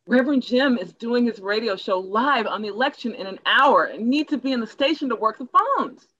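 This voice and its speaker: A woman's voice: fairly high pitch, lively intonation, brisk, fairly bright tone, muffled recording. A woman playing an earnest, forward church organizer in an online play reading over a video call. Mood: eager, proud. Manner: eager, proselytizing. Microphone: video call microphone.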